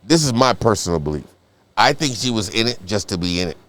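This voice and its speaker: Teasing, argumentative low-voiced man. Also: very animated, fast, a bit bright. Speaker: podcast co-host. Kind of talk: casual chat.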